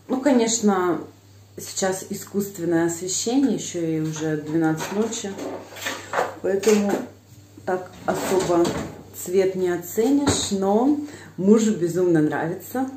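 A woman's voice: mid-range voice, roomy sound, background noise. A woman in her thirties chatting to her viewers in a casual home vlog, filmed on a phone held at arm's length. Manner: chatty, addresses viewers directly. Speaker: vlogger. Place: small room indoors at night.

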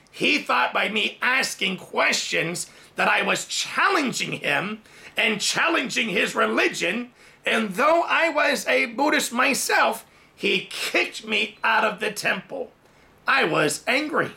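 A man in his forties preaches from his home study, raised in Thailand and telling his own story with lively, sweeping gestures. His voice sits high and rings with a sharp, bright edge, and it leaps up and down as he tells it. A gravelly rasp shows through at the bottoms of his phrases. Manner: animated storytelling with big hand gestures. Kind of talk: sermon testimony. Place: home study with bookshelves, indoors.

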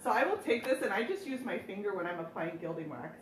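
A chatty woman in her thirties hosts a live painting demo, joking with viewers and laughing easily. Her voice sits at an ordinary middle pitch. It carries some room echo, since she is a little away from the mic. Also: very animated, fast talker, a little hiss or hum. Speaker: furniture painting host. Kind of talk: casual live demo chat. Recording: echoey room, mic at a distance.

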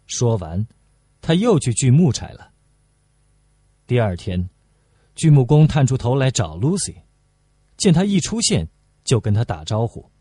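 Mellow, husky male narrator. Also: mid-range voice, big pitch swings, measured pace, pauses often, no room sound, studio quality. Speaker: audiobook narrator. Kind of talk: scripted narration, novel reading.

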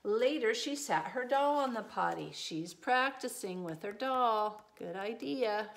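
A middle-aged woman reading a children's storybook aloud, adding gentle, reassuring asides in simple phrases for young children. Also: fairly high pitch, expressive, measured pace, vocal fry. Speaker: preschool teacher reading a picture book aloud. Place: small indoor room with desk and shelves.

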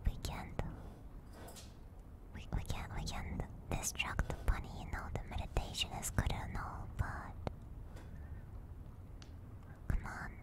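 A woman streaming ASMR in a soft, breathy whisper, gently and soothingly guiding and chatting close to a binaural microphone. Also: slow, pauses often. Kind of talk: whispered ASMR guided meditation and chat. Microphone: close binaural ear microphone.

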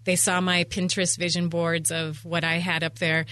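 A woman's voice: fairly low pitch, little pitch movement, normal speed, bright, forceful tone, dry recording. A middle-aged woman chatting at length as a guest in a radio interview, talkative and full of anecdotes, on a studio microphone. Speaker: interview guest, event venue owner. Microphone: studio microphone on boom arm.

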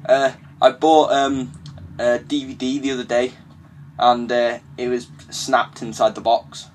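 A young adult British man making a casual prank call in a halting, hesitant manner. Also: medium pitch, level tone, slight background noise. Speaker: prank caller.

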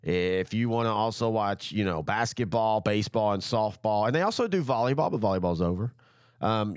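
A middle-aged man with a drawl hosts a local football show while fighting a head cold, so his voice sounds stopped up. He talks at an ordinary mid pitch, rambling and fixing himself mid-sentence, full of enthusiasm for playoff season. The close studio mic sounds completely dry. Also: no room sound. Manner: rambling, self-correcting, enthusiastic. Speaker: sports show host. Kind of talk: casual sports talk.

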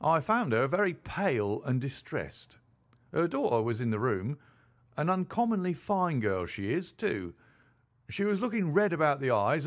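Audiobook role: self-satisfied police detective as acted by a male reader, higher-pitched, eager, very animated intonation. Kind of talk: dramatised audiobook reading.

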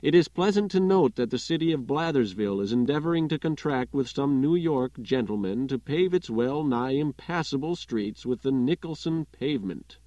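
A man's voice: mid-range voice, animated, normal speed, few pauses, warm tone, no echo. A man narrates a literary story for an audiobook in a mid-range voice. The sound is very dry, with a slightly muffled top end.

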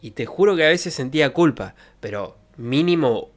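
A man's voice: a little high, lively intonation, moderate pace. A young man with a Santa Fe Argentine accent, chatting casually and colloquially on a livestream in a jokey storytelling way. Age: young adult. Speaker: streamer. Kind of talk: casual livestream chat, reminiscing. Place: bedroom streaming setup. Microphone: close desk microphone with pop filter.

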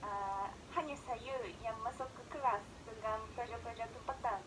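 A woman's voice: on the high side, fairly even intonation, normal speed. A young woman from Japan, answering interview questions outdoors in careful, hesitant second-language speech full of "ah" fillers. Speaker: interviewed student. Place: outdoors in a park. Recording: outdoor clip with clear background noise.

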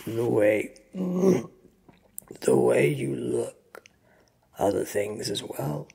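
A performer voicing a frightened, injured henchman who stammers and speaks in halting, strained bursts. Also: mid-range voice, expressive, gravelly, dark tone, husky, exaggerated voice. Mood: nervous, pained.

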